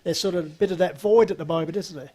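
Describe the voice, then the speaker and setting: A man's voice: high voice, fast, darkish tone. A man with an Australian accent commentating local football and interviewing guests in a chatty, enthusiastic way. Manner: chatty, enthusiastic, many fillers. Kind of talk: local radio-style footy commentary.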